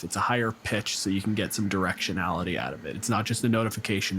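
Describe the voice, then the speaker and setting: A man's voice: on the low side, heavy background noise. A man working as game master, narrating a tabletop game in a dramatic, descriptive way. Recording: music behind the voice.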